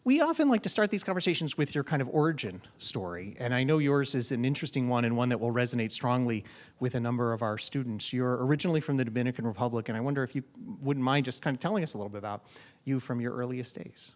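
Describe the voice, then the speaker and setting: A man, a college dean, introducing a guest and moderating a public conversation at an event, speaking in a measured way with frequent ums. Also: mid-range voice, expressive.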